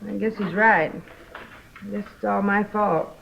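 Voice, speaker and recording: An actress playing a worried, guilt-ridden sister in a 1950s radio drama, hesitant and apologetic, on a muffled old recording. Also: female voice, medium pitch, steady tone, slow, gravelly, slight background noise. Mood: regretful.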